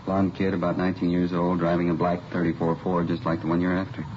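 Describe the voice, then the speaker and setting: An excitable, self-assured American used car dealer voiced by a male actor talks fast and low, insisting on his point. The old radio drama recording is dull and noisy. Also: natural voice. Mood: excited, confident. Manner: hurried, insistent. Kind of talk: 1950s radio acting.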